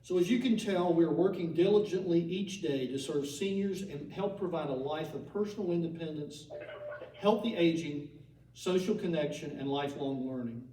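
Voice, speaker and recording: Man hosting an annual meeting, warm, fairly high voice, fillers, heard from a distance in a hall. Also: normal speed. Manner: speaks with fillers and mild humor. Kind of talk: formal meeting address. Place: large meeting room. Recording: echoey room, distant mic, faint ambience.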